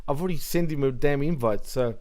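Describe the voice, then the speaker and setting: A man's voice: medium pitch, a little fast, darkish tone. A man co-hosting a casual online awards show, joking, laughing and swearing freely. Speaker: co-host.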